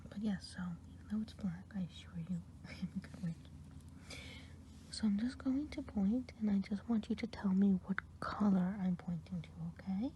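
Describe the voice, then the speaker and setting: A woman voicing a kind, gossipy good witch in an ASMR roleplay, whispering close to the mic and rambling with gentle hesitations. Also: mid-range voice, moderate pace, a little hiss or hum, natural voice. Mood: reassuring. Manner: whispered throughout.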